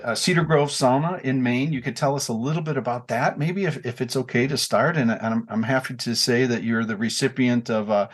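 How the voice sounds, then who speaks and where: A man's voice: mid-range voice, a little fast, few pauses. A man in his sixties hosting a casual podcast chat, rambling with many fillers and restarts. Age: 60s. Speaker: podcast host.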